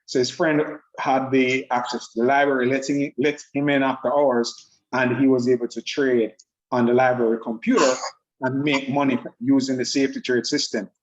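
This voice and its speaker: A man's voice: medium pitch, little pitch movement, moderate pace, dull audio. A man presenting a trading system on an online group call, upbeat and laughing often, with rambling restarts. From Jamaica.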